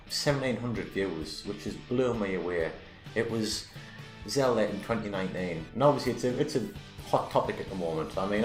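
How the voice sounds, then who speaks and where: A man with a deep voice, hosting a casual channel update vlog, rambling and correcting himself with enthusiasm. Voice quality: self-described deep voice. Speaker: YouTube channel host. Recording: roomy echo with faint background music.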